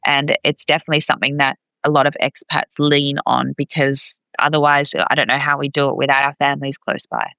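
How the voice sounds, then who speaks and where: A woman chatting casually as an interview guest, expressive and quick to act out dialogue as she tells stories. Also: low-pitched, gravelly, no echo. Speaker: podcast guest, expat parent.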